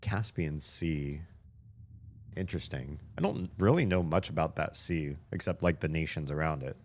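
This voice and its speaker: A young man giving casual, profane running commentary while playing a video game, his voice slightly hoarse. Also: very deep voice, expressive, moderate pace, a little hiss or hum. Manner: chatty, swears a lot, talks to himself while playing.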